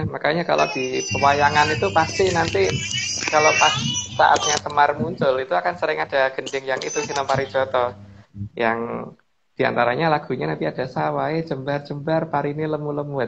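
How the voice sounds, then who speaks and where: A man's voice: on the high side, vocal fry, fairly bright tone, highs cut off. A man guest speaker explaining old lore in a casual, rambling way, full of fillers and restarts, politely addressing the host over an online call.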